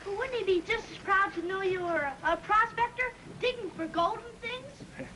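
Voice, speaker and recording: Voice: very high-pitched, normal speed, bright, forceful tone. A young performer playing an earnest, polite boy in a 1950s television western. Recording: noisy, distant old TV soundtrack.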